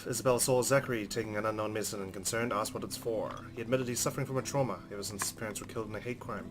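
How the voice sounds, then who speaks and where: A man's voice: lowish voice, fairly even intonation, rapid-fire. A man reading visual novel narration aloud as a let's player, with game music behind him. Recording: music under the voice. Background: game music with plucked guitar.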